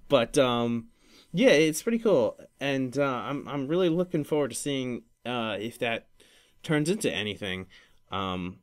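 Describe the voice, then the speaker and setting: A man hosting a solo podcast, talking casually in a rambling, halting way and often correcting himself. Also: medium pitch, very animated. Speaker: podcast host.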